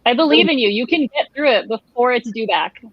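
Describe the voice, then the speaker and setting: A woman's voice: fairly high pitch, expressive, fairly quick, husky, no room sound. A woman on a livestream panel, chatting casually. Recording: dull, dry lo-fi call audio.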